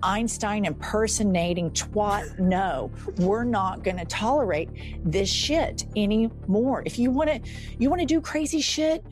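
A woman's voice: mid-range voice, lively intonation, unhurried, faint music behind. A woman in a heated political chat, profane, indignant and emphatic. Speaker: political commentator.

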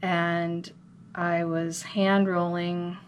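A woman's voice: fairly low pitch, flat delivery, drawn-out words. An American woman from Minnesota telling her story as an interview guest in an earnest, reflective, conversational way. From Minnesota, United States. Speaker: interview guest, chocolate business owner. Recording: clear background noise, room ambience.

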